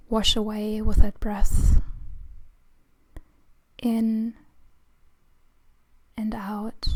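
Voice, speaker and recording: A woman leading a guided meditation in a soothing, hushed, breathy voice with long pauses between phrases. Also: mid-range voice, no room sound. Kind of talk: slow guided meditation narration.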